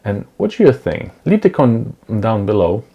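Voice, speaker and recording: A man in his thirties who speaks English as a second language, talking casually to camera in a home studio on a lapel mic, unhurried and with many ums and uhs. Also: fairly low pitch, sing-song, husky, lo-fi sound. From Europe. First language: not English. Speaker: vlogger. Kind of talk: casual talking-head vlog. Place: small dim home studio, indoors. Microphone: clip-on lapel mic.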